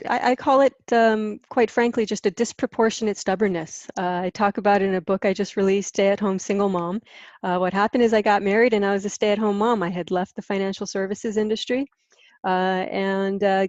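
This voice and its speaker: A woman's voice: medium pitch, a little mellow, no room sound, muffled recording. A middle-aged American woman from Hawaii answering interview questions in a candid, explanatory, conversational way. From Hawaii, United States. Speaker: interview guest, content marketing business owner.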